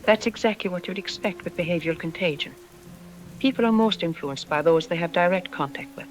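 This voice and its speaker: A woman playing a self-assured psychologist on a radio drama interview, explaining in an articulate, didactic and calmly dismissive way. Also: on the low side, a little fast, close to an everyday voice. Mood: insistent. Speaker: expert interview guest. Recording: music playing under the voice. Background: background music.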